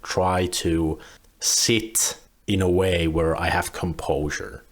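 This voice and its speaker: A young man, relaxed and rambling, commenting on a video clip he is reacting to. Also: sits low, slow. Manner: relaxed, rambling with frequent restarts.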